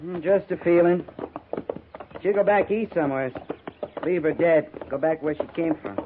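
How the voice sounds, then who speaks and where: A man's voice: a little high, moderate pace, lightly acted. An actor playing a folksy, blunt cavalry sergeant who defers to his officer, in a 1950s radio Western. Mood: musing, folksy. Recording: noisy, tinny 1950s radio broadcast.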